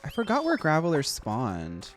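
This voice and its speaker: A streamer with a high, squeaky, nasal voice talks to chat in a lively, sing-song way, pitch swooping up and down. Laughs come easily between casual remarks, and faint music plays behind. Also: moderate pace. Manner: chatty, animated, laughs often, talks to the game and chat.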